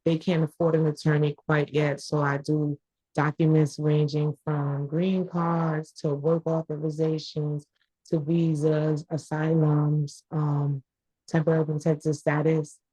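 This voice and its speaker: A woman whose first language is Haitian Creole speaks hesitantly and candidly over a video call. Her voice is very low and husky, with a flat melody and a dry sound. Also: unhurried, no echo, poor audio. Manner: hesitant, candid, many fillers. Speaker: class participant, small business owner. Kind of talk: casual chat.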